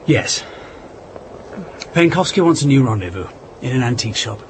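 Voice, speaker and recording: Radio drama: male actor as reluctant British intelligence man, protective, earnest, medium pitch, old-fashioned clipped delivery. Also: lively intonation, moderate pace, background noise, lightly acted.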